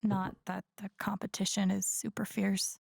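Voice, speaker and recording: Wry, creaky-voiced young game heroine. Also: female voice, mid-range voice, level tone, normal speed, darkish tone, no room sound. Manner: understated, dry, introspective. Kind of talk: video game voice acting, inner monologue. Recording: game music behind the voice. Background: game music.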